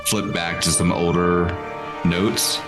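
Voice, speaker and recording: Man, deep pitch, casual roleplay narration, halting delivery, music bed. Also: flat delivery. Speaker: tabletop roleplay player.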